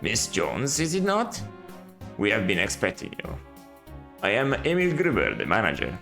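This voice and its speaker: A man's voice: fairly low pitch, huge pitch swings, moderate pace, creaky, a bit bright, faint music behind, full character voice. Man doing a courteous hotel manager voice for a game playthrough, proper and stiff. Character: polite, formal male hotel manager.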